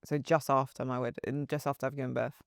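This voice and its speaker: A woman's voice: a bass voice, level tone, fast, creaky, no echo, clean studio sound. A woman co-hosting a podcast in casual chat, rambling and restarting her sentences, often giggling. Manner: rambling, loses her train of thought, giggly. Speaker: podcast co-host.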